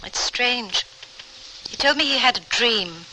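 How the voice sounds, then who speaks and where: A woman's voice: a little high, expressive, takes it slowly, sharp tone, heavily acted. An actress playing a polite, composed lady traveler of the Old West in an old-time radio drama. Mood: earnest, concerned. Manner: polite, proper, earnest. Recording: noisy old radio broadcast recording.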